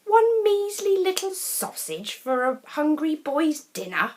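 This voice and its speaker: A woman reading a children's picture book aloud as a storyteller, expressive and deliberate, with dramatic pauses between phrases. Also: sits high, slight background noise.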